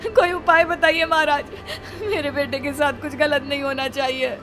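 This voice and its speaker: A woman's voice: sits high, fairly even intonation, moderate pace, over music, voice pushed up, close to an everyday voice. A woman voicing an anxious, protective mother in a melodramatic horror cartoon, speaking with urgent emotion. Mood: desperate pleading.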